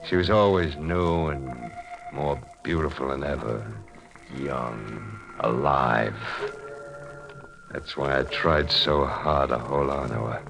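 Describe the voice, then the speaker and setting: A man's voice: a bass voice, slow, creaky, over music, heavily acted. A man playing a devoted, earnest, plain-spoken fishing boat skipper in a 1940s radio drama. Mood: wistful. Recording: noisy old radio recording.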